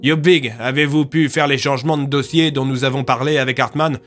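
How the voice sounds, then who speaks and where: A man's voice: medium pitch, fairly even intonation, fairly quick, a bit bright, faint music behind, voice pushed up, lightly acted. Man playing a weary, earnest police inspector. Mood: serious, concerned. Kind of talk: audio drama acting.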